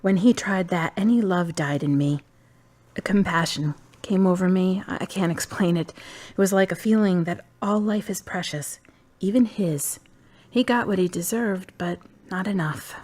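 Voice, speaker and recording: An actress playing a calm, wise young woman in her twenties from the United States, who talks bluntly and teases dryly. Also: fairly low pitch, moderate pace, close to an everyday voice. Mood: somber. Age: 20s. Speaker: friend and love interest. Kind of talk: amateur web drama acting.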